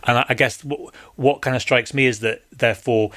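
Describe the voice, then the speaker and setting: A man's voice: mid-range voice, fairly even intonation, fairly quick, a bit bright. A man hosting a podcast who asks the guest careful, probing questions, with small restarts. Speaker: podcast host. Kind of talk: interview questions.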